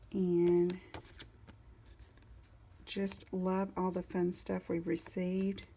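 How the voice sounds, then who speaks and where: A woman's voice: lowish voice, vocal fry, warm tone, breathy edge. A woman giving a casual step-by-step card-making tutorial, talking as she works and stopping now and then to look for her supplies. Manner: unhurried, talks while working, stops to search for supplies.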